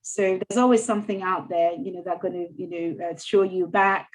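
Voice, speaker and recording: Soft-spoken woman, halting speech. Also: lowish voice, fairly even intonation, poor audio. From Ghana. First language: not English. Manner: soft, halting, with many fillers and restarts. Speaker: mayor, interview guest. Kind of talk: casual interview conversation.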